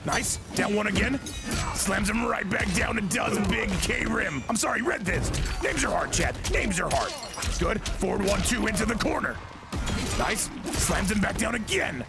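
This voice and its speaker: A man hosting and commentating a fighting-game tournament stream, giving hyped, rapid shout-outs to chat. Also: sits high, animated, vocal fry, over loud music.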